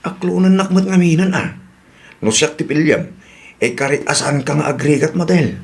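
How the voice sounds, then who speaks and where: A man's voice: fairly high pitch, normal speed, natural voice. A man acting an eager, coaxing suitor. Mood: wooing. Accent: Filipino, Ilocano. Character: eager, promising male suitor wooing a woman. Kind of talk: radio drama acting.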